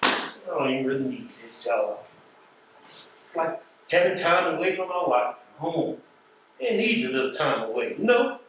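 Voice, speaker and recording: Stage drama, American male: wry, philosophical postal worker, lively intonation, very echoey and distant. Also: on the high side, normal speed, pauses often. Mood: easygoing. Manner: folksy, colloquial, wry. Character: wry, unhurried male mail carrier, streetwise and philosophical. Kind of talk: stage play acting. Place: theatre stage, recorded from afar. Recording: echoey stage, distant mic, faint noise.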